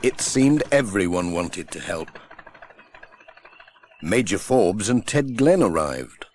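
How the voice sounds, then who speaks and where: Animated male storybook narrator. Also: low voice, takes it slowly, slight background noise. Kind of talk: scripted children's storybook narration.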